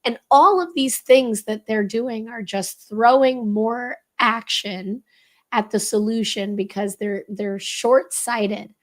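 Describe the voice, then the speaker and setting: Woman, hoarse and crackly, guest author, earnest explainer. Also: on the high side, slow. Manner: earnest, explanatory, steady flow. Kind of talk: interview answer explaining a leadership framework.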